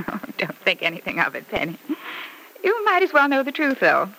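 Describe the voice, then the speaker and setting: A woman's voice: fairly high pitch, wildly swooping pitch, fairly quick, sharp tone, full character voice. A woman playing a gushing, sweetly catty mother in an old radio soap, effusive and theatrical with a cooing sweetness. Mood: brittle, wry. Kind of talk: old-time radio soap opera acting. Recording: noisy vintage radio recording.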